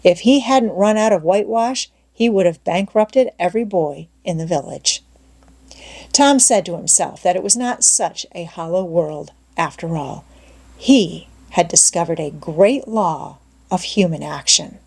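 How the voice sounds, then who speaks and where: A woman reading an audiobook as expressive storytelling, with measured, deliberate phrasing. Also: on the low side, vocal fry, breathy edge. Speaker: audiobook narrator. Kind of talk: expressive scripted storytelling narration.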